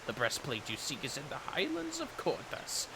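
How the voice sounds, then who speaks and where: A man voicing a solemn, honorable warrior burdened by guilt, speaking gravely in formal, archaic phrases as fantasy game dialogue. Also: mid-range voice, wildly swooping pitch, moderate pace, noisy background. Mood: earnest resolve. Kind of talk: dramatic read-aloud of fantasy game dialogue. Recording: prominent music behind the voice.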